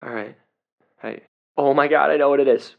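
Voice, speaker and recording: An actor playing a cocky, flippant young male YouTube investigator in an audio drama, excitable and hesitant when challenged. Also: medium pitch, moderate pace, vocal fry, narrow, tinny audio, lightly acted. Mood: uneasy, hushed. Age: young adult.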